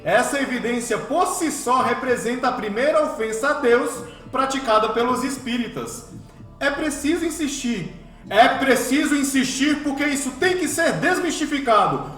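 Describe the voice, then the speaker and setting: A zealous, scornful priest of a radio play. His voice is very high and swings widely as he declaims with frenzied emphasis. The sound is roomy and echoing. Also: expressive, normal speed, fairly bright tone, soft background music. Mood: frantic, denouncing. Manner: frenetic, oratorical, emphatic repetition. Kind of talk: radio drama acting.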